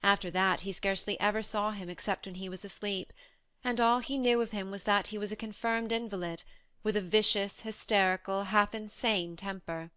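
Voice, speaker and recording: A woman reading a classic novel aloud, her voice smooth and resonant. She tells the story in a measured, expressive way. The sound is close and dry, on a studio mic. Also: medium pitch, dry recording. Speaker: narrator. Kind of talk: audiobook dramatic reading.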